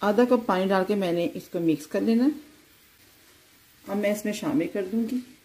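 A woman hosting a cooking channel, giving calm, plain step-by-step recipe instructions over her cooking. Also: medium pitch, moderate pace, low-quality recording.

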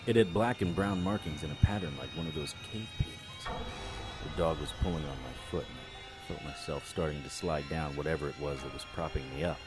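A man playing a wry, world-weary detective narrating a noir audio drama in clipped, deadpan phrases. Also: extremely low pitch, a little fast, gravelly, loud music behind the voice, full character voice. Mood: groggy, musing.

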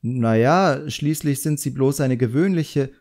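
A man's voice: medium pitch, unhurried, darkish tone, voice pushed up, close to an everyday voice. A man voicing a proud, earnest boy apprentice magician in an audiobook, naive and easily indignant.

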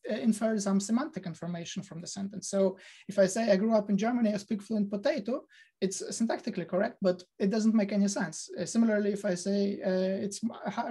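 A man's voice: high voice, dark tone. A man giving an online lecture over a video call, hesitant, with many fillers and restarts.

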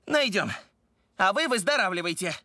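A woman playing an earnest, clever schoolboy hero in an animated cartoon, explaining things seriously. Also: mid-range voice, moderate pace, dry recording. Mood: eager. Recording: loud cartoon music underneath.

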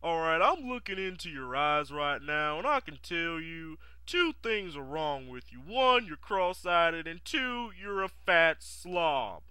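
A man voicing a brash ranting showman with an emphatic, drawn-out, punchy delivery. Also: a little high, very animated, bright, forceful tone, clean tone, no echo, heavily acted. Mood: brash, insulting.

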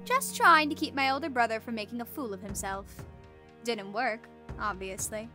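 A woman's voice: a little high, expressive, normal speed, sharp tone, soft background music. A woman voicing a haughty, mocking teenage princess and bossy younger sister in a cartoon comic dub, condescending and sarcastic. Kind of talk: animated comic dub voice acting.

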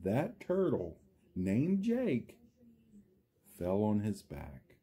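A man reading a children's picture book aloud, speaking directly to the children like a schoolteacher, with theatrical drawn-out words and dramatic pauses. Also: on the low side, wildly swooping pitch, warm tone, slightly rough. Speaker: storyteller, reader to young children.

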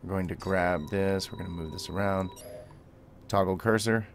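A man's voice: low-pitched, fairly even intonation, normal speed, slight background noise. A man giving a gameplay tutorial, mixing clipped spoken commands with casual explanation. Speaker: tutorial host.

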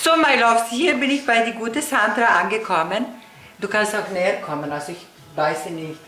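A woman's voice: mid-range voice, normal speed, sharp tone. A woman in her thirties vlogging to a handheld camera, brash and sarcastic with crude jokes. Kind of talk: brash comic vlog chat. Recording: echoey room with faint noise.

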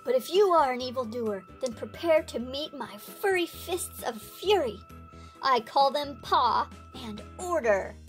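A woman's voice: sits high, lively intonation, a little slow, soft background music. A woman voicing a boastful superhero bunny from a storybook, reading in a declamatory way. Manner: declamatory read-aloud.

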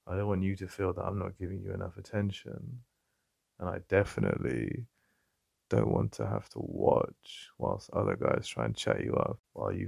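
A man with an English accent playing a jealous, possessive yet vulnerable boyfriend in an intimate ASMR roleplay, halting and conversational with a gravelly voice. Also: low voice, darkish tone, no room sound, clean studio sound, heavily acted. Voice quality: gravelly, creaky. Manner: halting, repeating himself, conversational. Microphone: close, dry studio-style mic.

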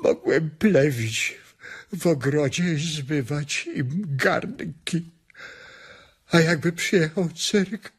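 Very slow, husky old ranch hand. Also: male voice, on the high side. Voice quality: husky, gravelly. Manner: slow, broken, bitter. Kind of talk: radio drama acting.

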